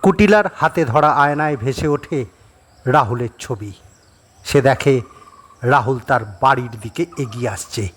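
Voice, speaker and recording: A man's voice: medium pitch, unhurried, pauses often. A man narrating a scripted suspense story as a dramatic audio storyteller. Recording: clearly noisy background.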